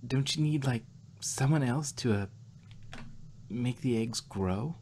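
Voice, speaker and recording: Man playing a female cartoon pony, breathy, slow, timid, stammering, puzzled. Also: mid-range voice, darkish tone. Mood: questioning, concerned. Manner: hesitant, stammering.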